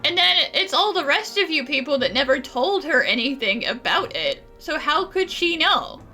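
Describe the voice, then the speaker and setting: A woman gamer commenting casually on a playthrough. Her voice is high and bright, with lively swings in pitch and an animated, opinionated way of talking. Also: moderate pace, soft background music. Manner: animated, opinionated, indignant on characters' behalf. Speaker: gaming streamer. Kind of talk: casual let's-play commentary and reading aloud.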